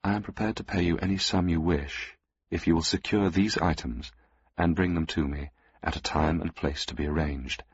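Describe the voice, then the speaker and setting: Man doing a cool, curt woman collector for an audio story; husky, dry, muffled. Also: low voice, moderate pace, no echo. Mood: cool, businesslike. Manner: calm and curt.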